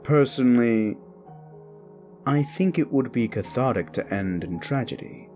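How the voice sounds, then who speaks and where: A man speaking to his audience as an audio roleplay creator, with theatrical, deliberate phrasing. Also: mid-range voice, animated, warm tone, faint music behind. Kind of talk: outro addressing the audience.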